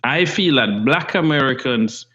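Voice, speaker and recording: A man's voice: medium pitch, lively intonation, measured pace, bright, forceful tone, breathy edge, muffled recording. A man from Jamaica, a guest on an interview podcast, making his points earnestly and step by step. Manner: earnest, emphatic, builds points in numbered steps. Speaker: investment firm CEO, guest.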